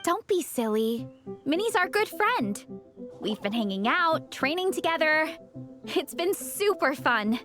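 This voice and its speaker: A woman's voice: high voice, very animated, a little slow. A woman acting as a supportive, loyal young friend in a video game, polite, upbeat and sincere. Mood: cheerful. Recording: background music under the dialogue.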